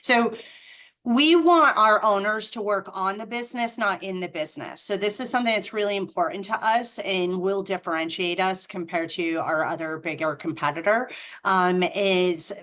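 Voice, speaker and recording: A woman's voice: mid-range voice, normal speed, fairly bright tone. A middle-aged woman with a Boston accent, a franchise representative explaining the business on a video call, chatty with frequent ums and restarts.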